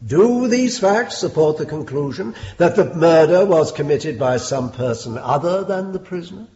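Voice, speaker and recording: An actor playing a stern, lofty Victorian judge with an English accent, formal and measured, in a British radio drama. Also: male voice, sits high, darkish tone, full character voice. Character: stern, lofty Victorian judge presiding over a murder trial. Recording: dull, muffled lo-fi drama recording.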